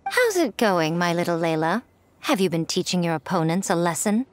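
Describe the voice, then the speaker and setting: A woman's voice: fairly low pitch, sing-song, normal speed. A woman playing a poised, teasing, elegant mentor in a video game, coy and knowing. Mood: teasing, affectionate. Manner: smooth-worded, coy, condescendingly affectionate. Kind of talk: video game character acting. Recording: loud music behind the voice.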